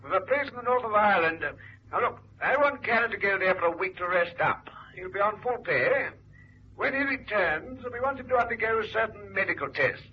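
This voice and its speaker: An actor playing a brisk, fussy older army general with a clipped, commanding manner in an old-time radio drama. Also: male voice, high voice, expressive, slight background noise. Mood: brisk, businesslike. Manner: clipped, commanding military bark. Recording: muffled, thin vintage radio recording.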